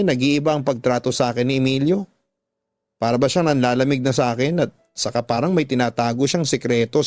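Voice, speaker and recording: A man's voice: medium pitch, a little mellow, no room sound, close to an everyday voice. Man voicing a troubled young woman, earnest and plaintive. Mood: worried, confiding. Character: troubled young live-in woman confiding her heartache.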